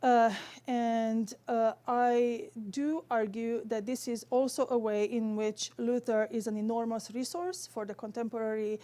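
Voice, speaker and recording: A woman with a Romanian accent giving an academic theology lecture in careful, halting, scholarly phrasing. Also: on the high side, mellow.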